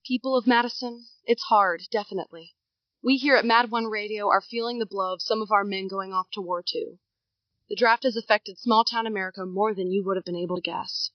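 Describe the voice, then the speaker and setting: A woman's voice: mid-range voice, steady tone, a little fast, dry recording, lo-fi sound, natural voice. A woman playing an earnest small-town radio announcer in an audio drama. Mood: sober. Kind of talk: audio drama local broadcast.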